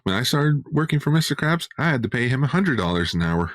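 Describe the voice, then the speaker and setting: A man's voice: medium pitch, very animated, fast, dry recording, clean studio sound. A man co-hosting a podcast in casual fan discussion. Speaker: podcast co-host.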